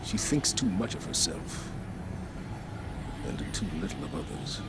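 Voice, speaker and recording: A man's voice: low-pitched, very animated, measured pace, creaky, very noisy, exaggerated voice. An actor playing a stern, guarded male military commander in a video game, with grave, clipped, authoritative phrasing. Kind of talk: scripted game cutscene dialogue. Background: loud music.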